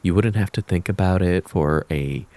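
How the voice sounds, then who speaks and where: A man voicing a deep, menacing kitsune fox spirit. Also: warm tone, lightly acted. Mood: taunting menace. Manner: taunting, theatrical, drawn-out predatory purr. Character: menacing, mocking kitsune fox spirit who hunts humans in the woods. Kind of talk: ASMR roleplay monologue.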